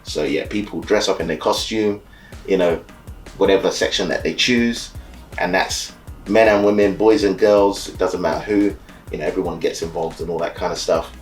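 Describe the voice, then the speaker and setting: A man born and raised in the UK who leads a carnival band, explaining carnival in a relaxed, easygoing way, often saying "you know" and "so yeah". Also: low voice, normal speed, soft background music. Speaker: carnival band leader and soca DJ. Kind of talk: casual explainer talk to camera.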